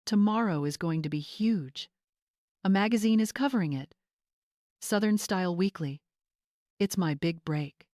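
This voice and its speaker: A woman's voice: lowish voice, measured pace, pauses often, darkish tone, no echo, studio quality, lightly acted. A woman voicing a smug young social-climbing bride, condescending and affected in an audiobook story. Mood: snobbish, self-important. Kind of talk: dramatized audiobook character voice.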